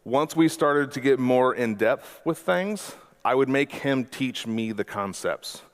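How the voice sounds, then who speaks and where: A man's voice: medium pitch, expressive, unhurried. A man in his forties giving a conference talk as a mentor, conversational and often correcting himself mid-sentence. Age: 40s. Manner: conversational, self-correcting presenter with frequent "uh".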